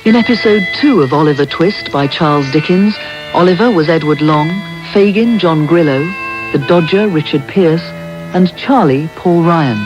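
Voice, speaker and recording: A radio announcer reads drama credits in a scripted style. Music plays clearly behind the voice. The taped broadcast sounds dull. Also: medium pitch, normal speed. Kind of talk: reading drama cast credits. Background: prominent music.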